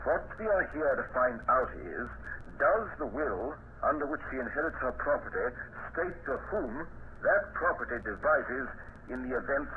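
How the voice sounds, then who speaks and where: Actor doing a polite sharp inspector, lively up-and-down voice, phone-thin old radio. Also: male voice, medium pitch, moderate pace, close to an everyday voice. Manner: courteous, hesitant with uhs, then firm. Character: polite, shrewd police inspector. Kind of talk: 1940s radio drama acting. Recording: tinny old radio transcription with hiss.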